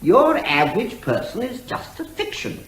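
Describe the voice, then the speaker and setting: In a roomy, echoing space, a male actor plays a pedantic witness in a courtroom comedy. His high voice moves animatedly as he quibbles, unruffled, pausing to build his argument. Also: huge pitch swings, a bit bright, full character voice. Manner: pedantic, argumentative, hesitating as he reasons. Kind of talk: courtroom radio comedy drama.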